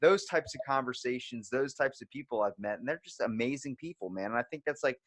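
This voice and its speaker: A man hosting a podcast, telling casual anecdotes in an animated, sweary way and acting out the people he quotes. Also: medium pitch, quick pace, no room sound, clean studio sound. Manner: animated storyteller, profane, acts out quotes. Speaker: podcast host.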